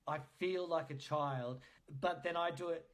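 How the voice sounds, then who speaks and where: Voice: mid-range voice, unhurried. Playful, teasing podcast host chatting casually.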